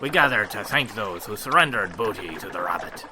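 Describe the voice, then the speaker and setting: A man doing a hammy low pirate announcer. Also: animated, fairly quick, noisy background, natural voice. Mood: jovial. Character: jolly male pirate announcer, cheeky and boisterous. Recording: distant, with sea and boat ambience. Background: water and boat sounds.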